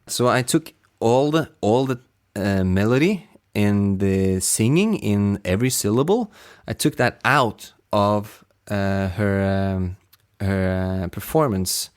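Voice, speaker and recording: A man with a fairly low, clear and resonant voice talks casually about his sound design work. He speaks slowly and reflectively, often pausing to search for the right word. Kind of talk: behind-the-scenes casual chat.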